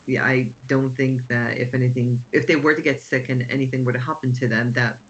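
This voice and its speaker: A middle-aged woman from Croatia, an emergency physician recounting experiences in a measured, reflective way with pauses. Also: a bass voice, flat delivery, dull audio. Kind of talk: conversational podcast storytelling.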